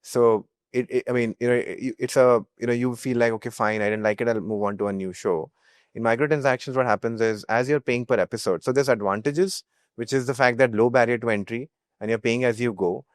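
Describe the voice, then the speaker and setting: A man's voice: mid-range voice, fairly even intonation, fairly quick, clear, resonant tone, dry recording, studio quality. A young man with an Indian accent answering as a startup founder in an interview, explaining through hypothetical examples. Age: young adult.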